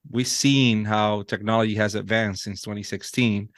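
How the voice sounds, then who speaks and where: A man's voice: fairly low pitch, steady tone, moderate pace, a bit bright, no echo, clean studio sound. A man in his thirties from Puerto Rico with a Puerto Rican accent, a native Spanish speaker, chatting earnestly as a podcast guest with many restarts. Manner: earnest, frequent restarts and fillers. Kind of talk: casual interview chat.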